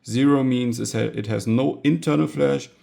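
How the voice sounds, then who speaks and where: A man casually narrating a hands-on gadget teardown as a video host, informal and halting, often pausing mid-sentence. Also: medium pitch, creaky, dark tone. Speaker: tech hobbyist video host.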